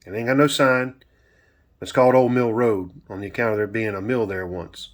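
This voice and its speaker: A man's voice: on the low side, steady tone, moderate pace, natural voice. A man voicing a stern, angry old grandfather from the country, preachy and commanding, in a dramatic story. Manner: preachy, commanding, rustic speech.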